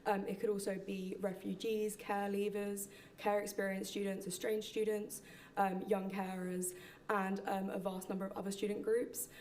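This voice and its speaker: Friendly young woman, flat delivery. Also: mid-range voice, normal speed. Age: young adult. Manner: friendly, many fillers. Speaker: student asking a question. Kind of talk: question from the audience.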